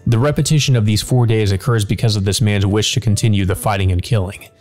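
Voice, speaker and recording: A man's voice: fairly low pitch, fairly even intonation, fast, darkish tone, faint music behind. A man reading a visual novel aloud as dramatic scripted narration.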